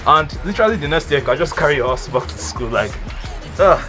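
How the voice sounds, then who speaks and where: A man's voice: on the high side, lively intonation, a little fast, over music. A twenty-year-old man giving casual life advice in a rambling, slangy way, full of "like" and "you know". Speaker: vlogger.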